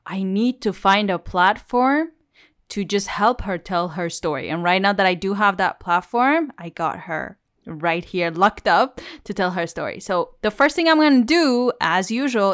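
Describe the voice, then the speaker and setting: Chatty woman podcast host, lowish voice. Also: a bit bright, clean tone. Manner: chatty and enthusiastic, laughs often. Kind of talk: casual podcast interview.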